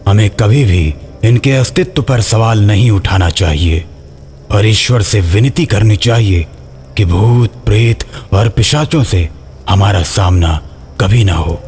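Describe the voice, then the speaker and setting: A man's voice: fairly low pitch, a little mellow. A man reading a scripted horror story as its narrator.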